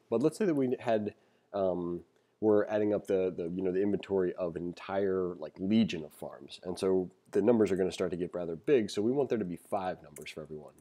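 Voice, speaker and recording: Low, mellow man teaching code. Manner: thinking aloud, informal explanatory asides. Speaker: programming instructor. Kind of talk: casual read-along tutorial, reading aloud and commenting. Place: screen-recorded voice-over.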